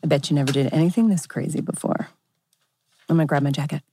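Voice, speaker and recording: A woman acts out an easygoing young woman in a teen drama table read. Her voice sits low, casual and unhurried in manner, with a dry, close sound. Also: steady tone, creaky, warm tone, slightly rough, no room sound, heavily acted.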